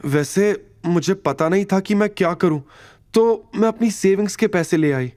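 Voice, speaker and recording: A man voicing an anxious, earnest and innocent young woman in an audio drama, speaking softly. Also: high voice, moderate pace, voice pushed up, close to an everyday voice. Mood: earnest, flustered. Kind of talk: Hindi audio drama dialogue.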